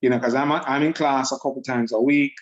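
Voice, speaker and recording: A man pitches warmly and persuasively, often doubling up his words as he explains things. His voice sits at an ordinary middle pitch. It comes through a dull, muffled video call line. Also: steady tone, fairly quick. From Jamaica. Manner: upbeat, persuasive, repeats words. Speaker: trading program presenter.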